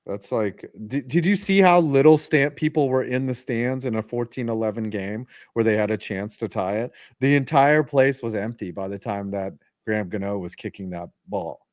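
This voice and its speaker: A man's voice: mid-range voice, moderate pace, hardly any pauses, a little mellow, dry recording, studio quality. A man co-hosting a casual sports chat, blunt and jokey, swearing often. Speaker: podcast co-host.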